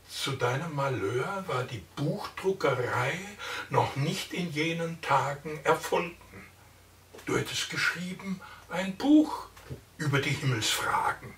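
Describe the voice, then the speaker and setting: An older man reading a long poem aloud in a theatrical, expressive way, indoors in front of the camera. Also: fairly high pitch, reverberant, recorded from a distance. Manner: expressive, theatrical, varies loud and soft. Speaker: reader of a poem. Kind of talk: slow dramatic poetry reading. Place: indoor room, plain wall.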